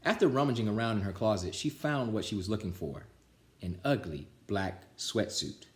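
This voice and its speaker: A man reading a children's picture book aloud with an animated, theatrical delivery. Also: lowish voice, moderate pace. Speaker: storytime reader. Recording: roomy, echoing sound.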